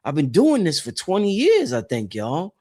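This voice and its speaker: A man's voice: a little high, huge pitch swings, normal speed, darkish tone. A man in his late thirties talking casually to his viewers about spiritual matters, rambling and repeating phrases for emphasis. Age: late 30s. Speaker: host of a spirituality channel.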